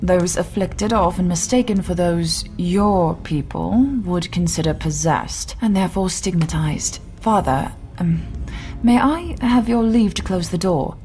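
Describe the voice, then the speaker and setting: Low-voiced, composed woman healer. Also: a little slow, mellow. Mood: grave persuasion. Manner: formal, earnest, articulate, persuasive. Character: mysterious, defiant, composed woman healer in 16th-century drama. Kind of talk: audio drama acting. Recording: music behind the voice.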